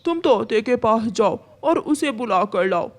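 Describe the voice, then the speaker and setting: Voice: medium pitch, animated, unhurried, a little hiss or hum, natural voice. A performer voicing an earnest, helpful, worried male pigeon in a children's cartoon.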